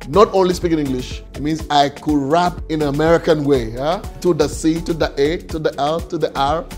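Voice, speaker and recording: A man mentoring contestants with a lively, playful storytelling talk full of rhetorical questions. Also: a little high. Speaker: guest speaker, leadership mentor. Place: indoor room, seated.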